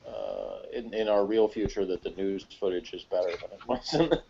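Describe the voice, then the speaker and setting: A man's voice: fairly low pitch, moderate pace, vocal fry, dark tone, highs cut off, slight background noise. A man chatting casually as a review co-host, rambling and hesitant, with lots of informal filler phrases. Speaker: podcast co-host reviewing a TV episode.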